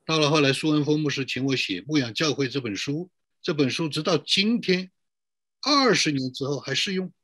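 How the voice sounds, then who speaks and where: A man's voice: fairly high pitch, a bit bright, no room sound, clean studio sound. A middle-aged man pastor giving a sermon to an online church, posing rhetorical questions and drawing out emphatic phrases.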